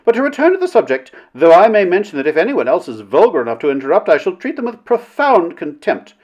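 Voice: medium pitch, very animated, quick pace, a little mellow, highs cut off, voice pushed up. A narrator voicing a self-important, quarrelsome woman in a story, declaiming with haughty, melodramatic indignation. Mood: pompous indignation.

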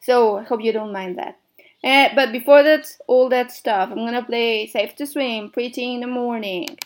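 A woman's voice: on the high side, steady tone, normal speed. A young Mexican woman who speaks Spanish natively, with a mixed Mexican and American-tinged accent, hosting a casual radio show and rambling with lots of "like" and "I don't know". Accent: Mexican, mixed with American English.

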